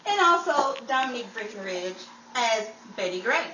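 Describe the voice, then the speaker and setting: A woman reads scripted radio-style credits as an announcer. Her voice is bright and rather high. It echoes as if recorded away from the mic. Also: sing-song, normal speed. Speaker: announcer reading show credits. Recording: echoey room, distant mic, faint noise.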